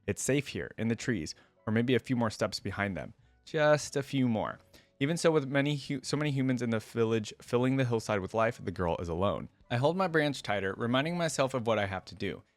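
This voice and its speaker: A man voicing a shy, clumsy young female fawn spirit as he reads a fantasy story aloud in a gentle, hesitant manner. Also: medium pitch, lively intonation, fairly quick, no echo, faint music behind, natural voice. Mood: timid, then nervous, self-coaxing. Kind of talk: first-person fantasy story read aloud.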